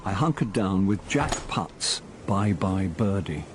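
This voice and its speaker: A man's voice: deep voice, expressive, a little slow, heavy background noise. A man playing a charming, unlucky middle-aged actor and amateur sleuth in a radio drama, with a dry, wry manner. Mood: wry narration. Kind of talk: radio drama acting with first-person narration.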